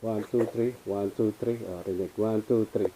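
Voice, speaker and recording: A man's voice: lowish voice, fairly even intonation, normal speed, mellow, a little hiss or hum, low-quality recording. A Filipino man giving a casual, unscripted gear review as a motovlogger, informally mixing in English. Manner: informal, switches between Tagalog and English.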